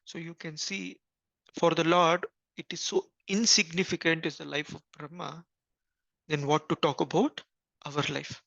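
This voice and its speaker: A man giving an earnest scripture class, pausing often with fillers and restarts. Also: a little high, little pitch movement, gravelly, sharp tone, no echo. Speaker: spiritual teacher. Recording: dull lo-fi recording.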